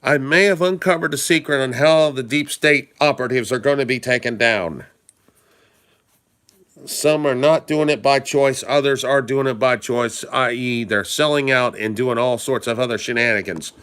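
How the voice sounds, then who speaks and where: A man hosting a live stream, hoarse and stuffed up from a cold, talking casually in a rambling way and greeting viewers by name. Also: mid-range voice, moderate pace, fairly bright tone. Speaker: livestream host.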